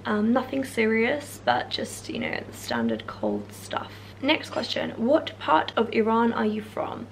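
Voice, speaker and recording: A young English woman in her twenties, husky from a cold, chatting casually through viewer questions in a room at home. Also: fairly high pitch, huge pitch swings, measured pace, slight background noise, low-quality recording. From England. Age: 20s. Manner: chatty, many fillers like "like" and "um". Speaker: YouTuber answering viewer questions. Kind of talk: casual Q&A chat. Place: bedroom or living room, indoors. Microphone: camera in front, seated close.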